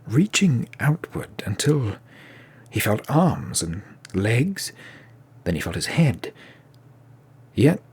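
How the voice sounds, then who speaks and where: A man with a gravelly, husky voice narrating a fantasy audio drama in a deliberate, dramatic way. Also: lowish voice, a little mellow.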